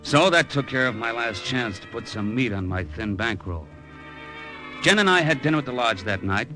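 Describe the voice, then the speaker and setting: A man's voice: medium pitch, expressive, moderate pace, bright, forceful tone, faint music behind. Noir radio lead: cynical, broke American man, punchy edge, hard-boiled delivery. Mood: hard-boiled narration. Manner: wry, slangy, hard-boiled. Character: cynical, broke American gambler abroad, middle-aged. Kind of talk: 1950s radio drama acting and noir narration.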